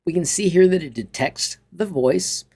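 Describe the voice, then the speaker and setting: A man's voice: a little high, moderate pace, vocal fry, darkish tone, slightly rough. Chatty, enthusiastic male tutorial host. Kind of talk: informal software walkthrough with upbeat sign-off.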